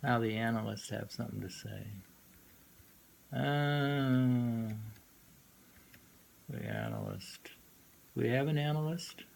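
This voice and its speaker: A man reading poems aloud informally and musing on them in a halting way, often repeating lines. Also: mid-range voice, vocal fry, darkish tone. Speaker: poetry reader and commentator. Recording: noisy amateur recording.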